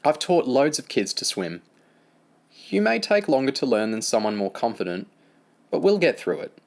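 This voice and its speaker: Man doing a gentle young swimming teacher woman. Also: mid-range voice, very animated, normal speed, vocal fry, pitched above the performer's own voice. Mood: soothing. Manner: gentle, reassuring. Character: kind, patient young female swimming teacher.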